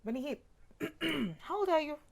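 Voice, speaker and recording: A woman hosting a studio interview, lively and teasing. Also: high voice. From Kenya. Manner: lively, teasing, quick to laugh. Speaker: TV host.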